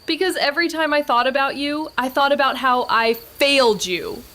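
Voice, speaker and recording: A woman playing a guilt-ridden young woman in an audio drama, speaking in an emotional, halting, confessional way. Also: high-pitched, a bit bright, a little hiss or hum. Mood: hurt, defensive. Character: Mags, guilt-ridden, anguished young woman, former best friend. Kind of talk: naturalistic audio drama acting.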